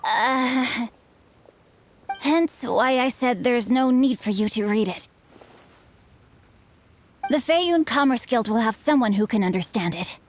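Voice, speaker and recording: A woman voicing a bookish, chivalrous young swordsman who speaks in a formal, measured way. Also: on the high side, a little hiss or hum. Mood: composed. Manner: formal, lofty, measured speech. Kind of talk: game voice acting.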